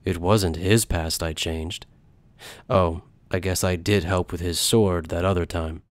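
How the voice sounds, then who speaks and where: A soft-spoken, sinister anime man played by a male actor talks in a lowish voice. He is detached and polite, with quiet menace. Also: fairly even intonation, measured pace, dry recording. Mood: coolly amused. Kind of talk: anime fan dub acting.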